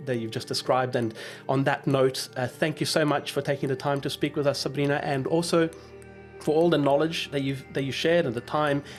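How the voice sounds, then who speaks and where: A man hosting a casual interview podcast, speaking in a halting, appreciative conversational way. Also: mid-range voice.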